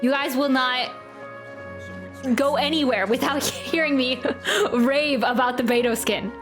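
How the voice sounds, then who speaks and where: A woman with a high voice hosts a casual game livestream. She rambles in a giggly, chatty way, with soft game music behind her. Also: unhurried. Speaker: livestream host playing a video game. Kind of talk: casual livestream reaction chat.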